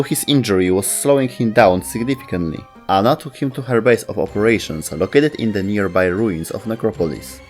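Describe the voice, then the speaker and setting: A man giving scripted narration as the host of a video game lore show. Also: on the low side.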